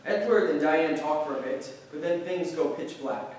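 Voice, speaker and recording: A man's voice: a little high, moderate pace, a little mellow. Male stage-directions reader, very echoey room. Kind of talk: scripted narration for a staged play reading. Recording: very echoey room, distant mic, faint noise.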